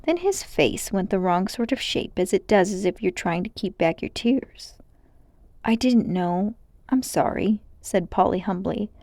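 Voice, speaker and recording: Woman, storybook narrator, expressive read-aloud, medium pitch, lively intonation, dry sound. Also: moderate pace, few pauses, no room sound.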